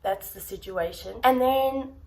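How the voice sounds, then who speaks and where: A young woman talking casually to her viewers, her voice a touch higher than most and slightly nasal from a blocked-up nose. She keeps it chatty and encouraging and speaks to the audience directly. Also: unhurried. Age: young adult. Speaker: vlogger. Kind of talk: casual talk to camera.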